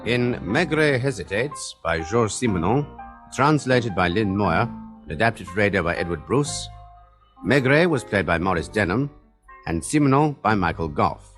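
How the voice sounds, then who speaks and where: A male announcer reading cast credits for a radio drama in a slow, formal manner. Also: on the low side, expressive, fairly bright tone. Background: guitar music.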